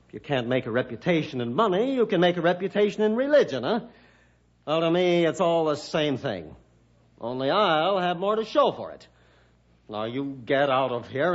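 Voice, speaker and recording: Testy, lively-pitched cynical schemer. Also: male voice, moderate pace. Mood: angry, scornful. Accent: American. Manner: gruff, testy, dismissive. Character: surly, defensive, cynical male schemer in business. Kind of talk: 1960s radio drama acting. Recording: dull vintage radio recording.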